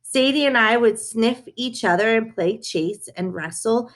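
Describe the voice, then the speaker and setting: A woman's voice: fairly high pitch, a little slow. A woman reading a children's story aloud, narrating it in the first person as a dog. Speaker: reader of a children's book.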